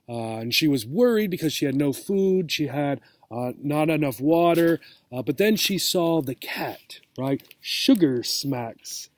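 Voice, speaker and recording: A man reading a children's storybook aloud as a teacher, expressive and checking in with listeners. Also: a little high, measured pace. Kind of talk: storybook read-aloud for English learners.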